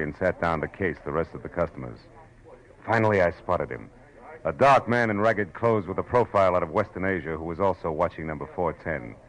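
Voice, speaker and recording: A man playing a hard-boiled private eye with a low voice and a terse, sardonic way of talking. He narrates like a world-weary gumshoe on 1940s radio, with music clearly behind him on the old recording. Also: natural voice, poor audio. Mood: wry narration. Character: hard-boiled, wry male private detective narrating his case. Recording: vintage radio drama with music.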